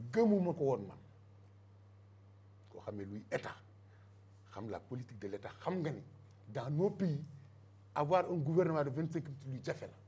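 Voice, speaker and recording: A man's voice: mid-range voice, dark tone, slightly rough. An older Senegalese man speaking emphatically as a guest in a televised political debate, on a lapel mic in a studio. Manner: emphatic, mixing Wolof and French. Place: TV studio set.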